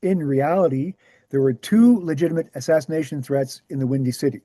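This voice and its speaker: A man reading the scripted part of a measured author who answers questions at a talk, deliberate and explanatory. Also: a little high, warm tone, no room sound, clean studio sound, natural voice. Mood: grave, explanatory. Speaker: actor in a screenplay table read. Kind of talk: scripted dialogue read aloud.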